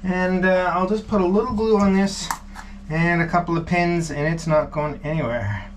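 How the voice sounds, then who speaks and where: A man's voice: high-pitched, moderate pace, slight background noise. A middle-aged man narrating his wooden boat restoration casually while he works, enthusiastic and chatty, with fillers and laughs. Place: wooden boat cabin interior.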